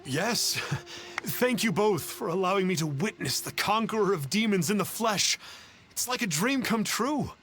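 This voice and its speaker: A cowardly, pompous trickster in a video game. His voice is high and sharp, with grand, archaic, theatrical phrasing. Faint music plays underneath. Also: expressive, measured pace. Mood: grovelling gratitude. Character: pompous, cowardly male conman posing as an immortal, grovelling. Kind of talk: video game character dialogue.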